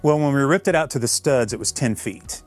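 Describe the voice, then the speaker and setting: A man in his fifties from Illinois, giving a relaxed, technical tour of his basement recording studio. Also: mid-range voice, fairly quick. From Illinois, USA.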